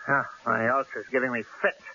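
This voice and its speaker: An actor playing a cautious, exasperated newspaper editor in an old-time radio drama, brusque and argumentative. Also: male voice, medium pitch, flat delivery, a little fast, pushed and bright. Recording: hissy, dull old radio broadcast recording.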